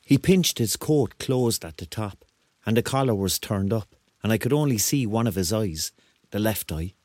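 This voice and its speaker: An actor playing a rambling, excitable Cork man in his thirties, telling his story in a colloquial radio drama style. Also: lowish voice, normal speed, no room sound. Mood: wry storytelling. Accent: Cork Irish. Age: 30s. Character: rambling, excitable thirty-year-old Corkman on a surreal night out. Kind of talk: radio drama acting, first-person narration and dialogue.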